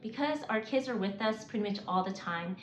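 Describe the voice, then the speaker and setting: A woman in her forties, raised in Mississippi, working through a prepared list of reasons in an earnest, measured way with occasional restarts. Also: medium pitch, lively intonation. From South Korea, raised in Mississippi. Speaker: homeschooling mom. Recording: roomy, slightly dull, faint hiss.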